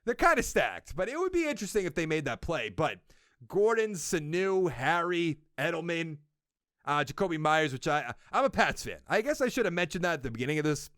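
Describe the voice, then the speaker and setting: A man's voice: fairly high pitch, sing-song, a little fast, a bit bright, no echo, studio quality. A young man with a Boston accent hosting a casual sports podcast, rambling and thinking aloud as he corrects himself. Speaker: podcast host.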